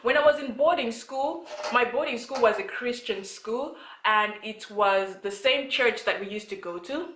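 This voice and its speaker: A woman's voice: a little high, normal speed, a bit bright, echoey room, slight background noise, low-quality recording. A young woman from Zimbabwe talking casually to her channel's viewers, rambling with lots of fillers and restarts. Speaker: vlogger. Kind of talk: casual talk to camera. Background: child talking in the background.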